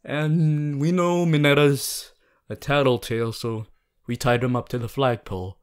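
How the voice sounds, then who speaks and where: A man voicing an earnest, fussy but caring student class president in an ASMR roleplay, gently bossy and often stumbling over his words. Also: mid-range voice, steady tone, unhurried, clean tone, close to an everyday voice. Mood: gently nagging. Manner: hesitant, repeating himself, gently bossy. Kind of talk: ASMR audio roleplay addressed to the listener.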